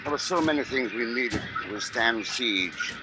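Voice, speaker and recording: An actor playing a grave, watchful husband under siege, in measured, brooding 1950s radio drama style. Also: male voice, medium pitch, expressive, very noisy, close to an everyday voice. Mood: weary, brooding. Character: besieged husband, grave, watchful, haunted by birds. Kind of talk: 1950s radio drama acting, first-person narration.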